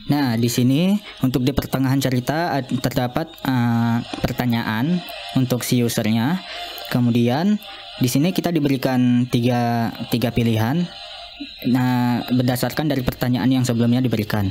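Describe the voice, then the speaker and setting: A young man narrating an informal software demo as a student, speaking haltingly and repeating himself. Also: medium pitch, soft background music. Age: young adult. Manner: halting, repetitive. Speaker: student demonstrating own app.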